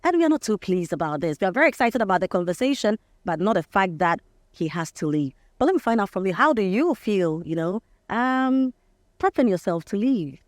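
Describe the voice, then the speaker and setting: A woman's voice: mid-range voice, big pitch swings, brisk, dry recording. Ghanaian woman hosting a breakfast show, dry sound. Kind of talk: chatty live interview. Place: outdoor terrace set.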